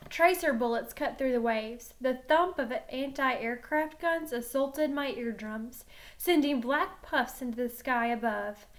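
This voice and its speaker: A woman reading a children's novel aloud in an animated, dramatic storytelling manner. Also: high-pitched, slow. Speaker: teacher reading a book aloud.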